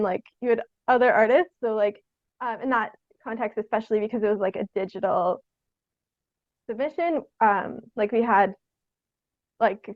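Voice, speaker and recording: A young woman speaks in a fairly high voice over a close, dry but phone-like connection. She talks casually as a guest artist, feeling her way through answers with hesitations and plenty of "like". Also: no echo. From Canada. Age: young adult. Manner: halting, tentative, thinks aloud with frequent "like". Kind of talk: casual interview conversation.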